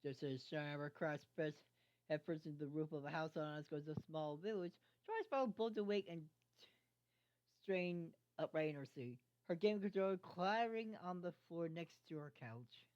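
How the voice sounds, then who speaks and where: An amateur reader narrating a fan fiction story aloud in a halting way, stumbling over words and repeating phrases. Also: medium pitch, a little mellow, clean tone. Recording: dull lo-fi microphone sound.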